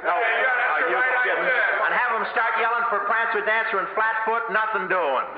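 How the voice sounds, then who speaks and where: A man's voice: high-pitched, moderate pace, phone-quality sound, over a noisy crowd, lightly acted. An actor playing a brash, wisecracking, bossy con man in slangy 1950s radio comedy style. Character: brash fast-talking male con man schemer, wisecracking ringleader. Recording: echoey, noisy old radio broadcast recording.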